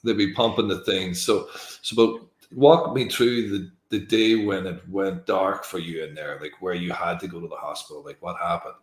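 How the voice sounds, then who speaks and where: A man with a very low voice hosts the conversation, reflecting on what he hears with many pauses and fillers. He speaks in an empathetic, thoughtful manner. His voice carries a noticeable room echo. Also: fairly even intonation. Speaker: interview host.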